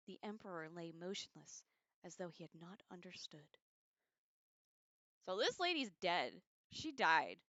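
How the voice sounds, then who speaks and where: A woman hosting a casual livestream read-along, expressive and informal, reading aloud and adding chatty, slangy asides. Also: lowish voice, no echo, muffled recording. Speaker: livestream host reading a book aloud with commentary.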